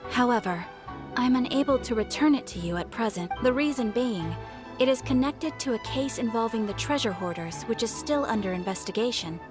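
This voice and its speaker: A woman's voice: on the high side, lively intonation, brisk, over music, lightly acted. A woman voicing a composed female guild receptionist in a video game, formal and courteous as she explains things.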